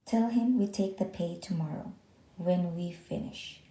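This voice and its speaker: A woman's voice: on the low side, a little slow, warm tone, roomy sound, lightly acted, low-quality recording. A woman voicing a proud, stern old grandfather in a storybook, earnest and principled. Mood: firm.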